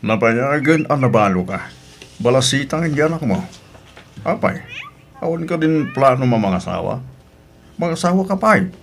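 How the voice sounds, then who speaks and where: A man's voice: medium pitch, very animated, normal speed, vocal fry, pauses often. A man with a Filipino accent playing a meddling, advising older kinsman in an audio drama. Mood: coaxing. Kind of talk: Ilocano audio drama acting. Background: music.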